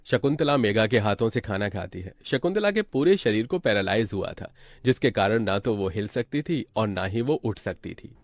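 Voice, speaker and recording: A man's voice: fairly low pitch, lively intonation, normal speed, dry recording. A man reading scripted narration as the narrator of a story.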